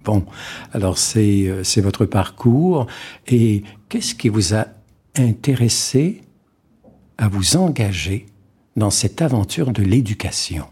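A male interviewer with a dark, mellow tone and a very husky, breathy voice. He asks his questions slowly and encourages his guest with brief acknowledgements. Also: lowish voice, expressive. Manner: slow, encouraging, frequent short acknowledgements. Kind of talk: radio interview.

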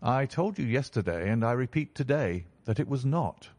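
A man reading a story, voicing a stern, calm male detective in a low, measured, authoritative tone. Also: no room sound, close to an everyday voice.

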